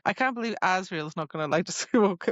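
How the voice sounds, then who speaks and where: A woman's voice: lowish voice, animated, fairly quick, no echo, dull audio. A woman co-hosting a podcast, chatting casually about a fantasy romance novel with giggly, playful reactions and mock quotes. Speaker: podcast co-host.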